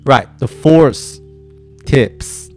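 A man whose first language is Chinese, giving career advice to design learners in a slow, halting way with frequent restarts. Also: mid-range voice, animated, a little mellow, faint music behind. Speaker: design mentor. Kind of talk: advice talk to camera.

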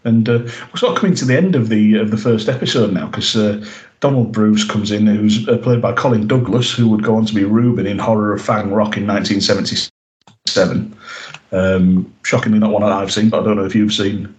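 A man's voice: on the low side, steady tone, fairly quick, roomy sound, rough recording. A man co-hosting a podcast, recapping an episode in casual chat, rambling with lots of "you know" and "uh". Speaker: podcast co-host.

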